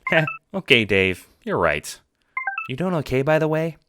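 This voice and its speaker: Guy doing an audio drama teen boy, pitched way up, light, naive and sweet. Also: sing-song, moderate pace, over music. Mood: light, caring. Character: eager, caring teenage boy John, light and naive.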